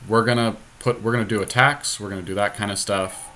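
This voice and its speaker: A man with a fairly low voice and a bright, forward edge talks through a game as it is played. His delivery is informal and thinks out loud, with the odd mild curse. The amateur recording carries faint background noise. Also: fairly even intonation, fairly quick. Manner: informal, mildly profane. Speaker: podcast co-host playing a card game. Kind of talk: casual game commentary, thinking aloud.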